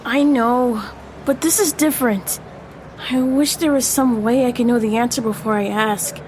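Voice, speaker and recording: A woman's voice: a little high, normal speed, darkish tone, slight background noise, close to an everyday voice, low-quality recording. A woman playing an anxious middle school boy in a family audio drama, earnest and hesitant. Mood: anxious, wistful. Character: anxious middle school boy confiding in his best friend.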